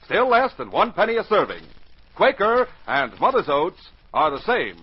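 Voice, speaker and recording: A male announcer from 1940s radio with a fairly high, husky voice. His delivery is dramatic and emphatic, swinging widely in pitch. The recording sounds dull and dated. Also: huge pitch swings, moderate pace. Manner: dramatic, emphatic, carefully enunciated. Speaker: announcer and narrator.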